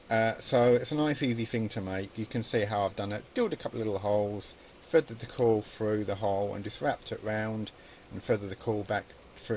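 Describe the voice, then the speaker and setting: A man with a mid-pitched voice gives an informal tutorial in a casual, explanatory way. He thinks aloud as he demonstrates, like a hobbyist teaching at the bench. A faint layer of noise sits behind his voice. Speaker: electronics hobby presenter.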